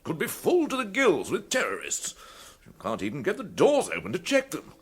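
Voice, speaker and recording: A man's voice: fairly high pitch, huge pitch swings, normal speed, fairly bright tone, husky, full character voice. A man playing a blustering, hearty and suspicious army colonel in a British radio comedy, with clipped upper-class bluster. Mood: grumbling.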